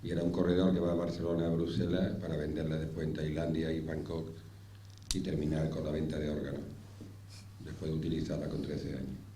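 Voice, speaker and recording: A man speaking off the cuff as a panelist, haltingly, repeating words and pausing mid-sentence. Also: very deep voice, completely flat delivery, mellow. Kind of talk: extemporaneous panel speech. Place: indoor round-table conference room. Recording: echoey room with faint noise.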